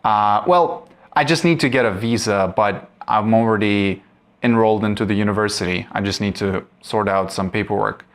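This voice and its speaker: A young man from Russia, a native Russian speaker, vlogging to camera in English in a casual, thoughtful way, often pausing and restarting as he searches for words. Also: lowish voice. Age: young adult. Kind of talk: casual rambling vlog talk.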